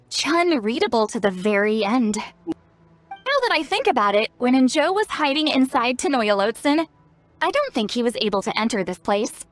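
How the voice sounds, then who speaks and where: A woman voicing a spry, youthful-sounding self-styled granny in a video game, playful, knowing and caring. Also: sits high. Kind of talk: scripted video game dialogue. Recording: game music behind. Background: game music.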